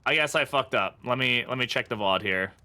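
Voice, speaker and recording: Very bright American male game streamer. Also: mid-range voice, a little fast. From born in England, grew up in the United States. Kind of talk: casual live game commentary with chat.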